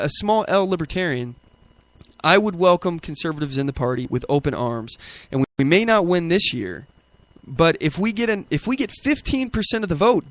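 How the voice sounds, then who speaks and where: Higher-voiced man hosting political talk. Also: a bit bright. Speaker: political talk show host. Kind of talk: talk radio commentary, ad-libbed.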